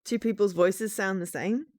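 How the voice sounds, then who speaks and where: A woman's voice: mid-range voice, measured pace, darkish tone, no echo. A young woman from the East Midlands with a fairly neutral English accent, chatting with her live-stream viewers while she plays a game, laughing often and teasing back. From England, East Midlands.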